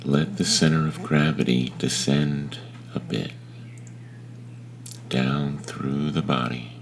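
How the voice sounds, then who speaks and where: A man leading a guided meditation in a gentle, unhurried manner with long pauses between phrases. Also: extremely low pitch, steady tone, gravelly, slight background noise. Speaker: meditation teacher.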